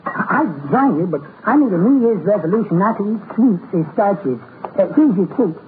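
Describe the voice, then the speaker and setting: Henpecked little husband, very high lilting voice, meek and genteel, old radio comedy with thin, noisy sound. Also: male voice, animated, moderate pace, narrow, tinny audio. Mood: politely hospitable. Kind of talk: 1950s radio comedy acting.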